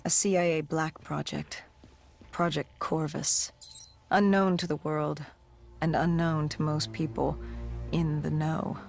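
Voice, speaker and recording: A grim cyber-augmented soldier, looks back on a disaster in a video game cutscene. The voice is low-set and gravelly, with creaky edges and somber, measured phrasing. Music plays underneath. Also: little pitch movement. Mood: grim, reflective.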